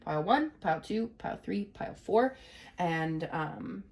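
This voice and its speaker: A woman with a somewhat low voice, audibly congested and stuffy from a cold. She gives a relaxed tarot reading straight to her viewers, rambling along and padding her sentences with fillers as she works through each card. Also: measured pace. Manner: chatty, rambling, many "you know" and "um" fillers. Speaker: tarot reader.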